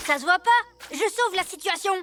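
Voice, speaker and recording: A woman's voice: very high voice, expressive, fairly quick. A woman voicing an earnest, defensive young boy superhero in a French cartoon dub, protesting with indignation. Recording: cartoon music behind the voice.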